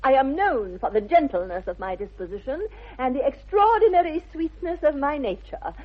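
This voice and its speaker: Haughty, high-pitched English society lady. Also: animated, normal speed. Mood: indignant. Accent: upper-class English. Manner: grand, precisely mannered, condescending. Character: haughty, imperious young society lady, icily polite. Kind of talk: 1960s radio stage comedy acting. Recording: thin, phone-like old radio recording.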